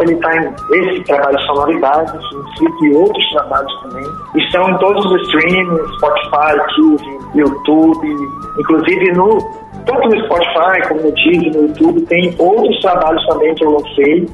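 A man from Northeast Brazil, a musician answering radio interview questions in a relaxed, rambling way full of little filler tags. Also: on the high side. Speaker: musician guest. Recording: roomy, dull radio studio sound.

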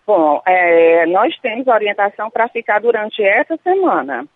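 A woman's voice: mid-range voice, expressive, measured pace, dry recording. Nurse, woman, Brazilian Portuguese accent, hesitant with fillers, tinny phone-line sound, dry. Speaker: nurse interviewed on radio. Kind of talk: on-site radio interview.

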